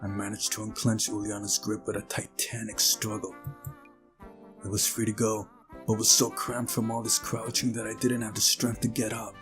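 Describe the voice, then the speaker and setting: A man's voice: on the low side, completely flat delivery, moderate pace, a bit bright. A man reading a visual novel aloud as narration, with casual asides of his own. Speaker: let's play narrator. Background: game music.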